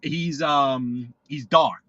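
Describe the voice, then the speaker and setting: Bright-voiced New man rambling. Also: medium pitch, extremely slow, no room sound, lo-fi sound. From New York. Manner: rambling, storytelling, frequent restarts. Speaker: livestream guest. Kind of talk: casual live podcast chat while driving. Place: in a car.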